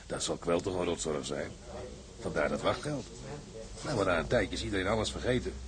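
An actor playing a gruff, cynical seaman in a Dutch audio drama, blunt, resigned and colloquial. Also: deep voice, fairly quick, crowd noise, lightly acted.

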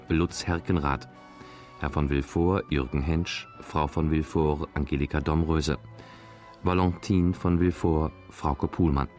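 A man's voice: very deep voice, darkish tone. A man formally announcing the title and cast credits of a radio drama.

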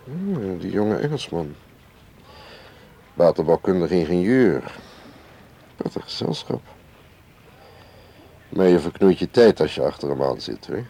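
Cagey male spy, radio play, low mellow voice, ironic, leisurely, hissy old recording. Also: very animated, measured pace, gravelly, long pauses, full character voice. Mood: cool, evasive. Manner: ironic, leisurely, ends remarks with questioning tags. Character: suave, cagey male foreign spy, teasing and unhurried. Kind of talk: radio drama acting.

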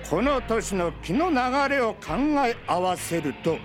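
A pompous old feng shui master. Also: male voice, measured pace. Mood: lecturing. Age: older. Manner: old-fashioned pompous speech, lively swooping pitch. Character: eccentric old male feng shui master, archaic speech. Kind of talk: radio drama acting. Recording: studio radio drama with faint music.

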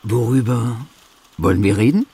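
A man playing the deliberate, orderly narrator of a literary radio feature about a poet's life. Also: medium pitch, dark tone, husky, close to an everyday voice. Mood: questioning.

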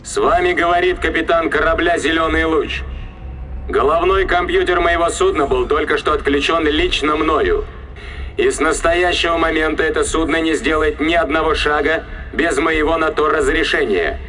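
An actor playing a defiant starship captain in an audio drama, commanding, threatening and emphatic. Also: male voice, a little high, normal speed, sharp tone.

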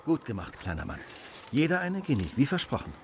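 A man's voice: mid-range voice, sing-song, brisk, slight background noise, natural voice. An actor playing a self-assured male detective in a radio drama, commanding, precise and dryly ironic.

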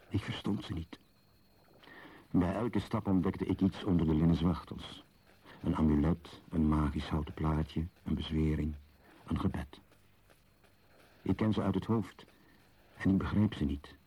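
An actor playing a contemplative archaeologist in a radio play, speaking a husky, measured and solemn literary monologue. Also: male voice, low-pitched, warm tone, poor audio. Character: contemplative male archaeologist tenderly unwrapping an Egyptian mummy.

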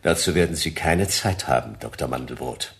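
An actor playing a laconic hard-boiled detective in a radio crime drama, with gravelly voice and dry, terse delivery. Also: male voice, rumbling low, animated, normal speed, heavily acted. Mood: deadpan. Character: laconic hard-boiled male detective, wryly narrating and talking.

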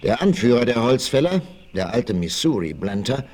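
Male narrator for vintage adventure radio play: lively, widely moving pitch, suspenseful scripted delivery. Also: normal speed. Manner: suspenseful storytelling. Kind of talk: dramatic scripted audio drama narration, 1960s.

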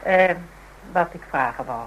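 An actress playing a caring, curious and easily appalled woman in a Dutch radio drama, expressive and probing. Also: sits low, measured pace, a little hiss or hum, low-quality recording. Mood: probing, upset.

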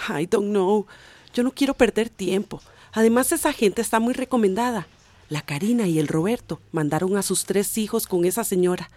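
A woman's voice: mid-range voice, moderate pace, darkish tone, a little hiss or hum, lightly acted. An actress playing a determined, hopeful emigrant mother in a radio drama. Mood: insistent.

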